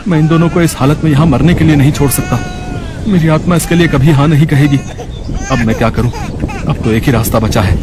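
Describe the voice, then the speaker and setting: A man voicing a poor, kind-hearted street food vendor in a cartoon story, earnest and plaintive, with a husky voice. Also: on the high side, fast talker, background noise, lightly acted. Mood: distressed. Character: poor, worried, kind-hearted male pani puri vendor.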